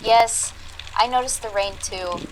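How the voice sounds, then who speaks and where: A woman's voice: fairly high pitch, measured pace, a little hiss or hum, natural voice, rough recording. A woman playing an analytical, unflappable paranormal investigator in an audio drama, speaking in a methodical, precise way. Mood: casual, easygoing. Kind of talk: audio drama dialogue, measured theorizing.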